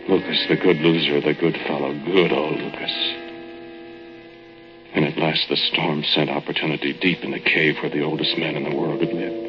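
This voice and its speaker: An actor playing a jealous, scheming murderer who narrates and pleads in a deliberate, dramatic old radio style. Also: male voice, very deep voice. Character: jealous, scheming male murderer, coldly confessing, falsely pleading. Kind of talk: 1940s radio drama acting, first-person narration. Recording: muffled old radio recording with music.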